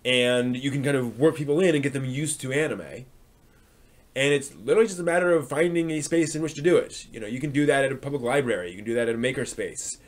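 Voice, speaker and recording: A man's voice: mid-range voice, fast. Hesitant man chatting on a live stream. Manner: hesitant, rambling, thinking aloud. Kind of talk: casual unscripted talk to viewers.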